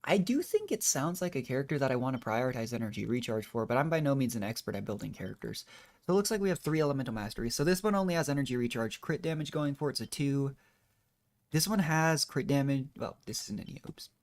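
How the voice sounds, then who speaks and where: A man's voice: mid-range voice, lively intonation, fast talker. A man doing casual, rambling gameplay commentary as a streamer, thinking aloud in a modest, unsure way. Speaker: video game streamer.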